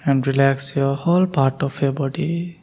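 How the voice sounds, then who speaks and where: A man, a Buddhist monk, gently guiding a meditation group with calm instructions, long pauses and non-native English grammar. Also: medium pitch. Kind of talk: guided meditation.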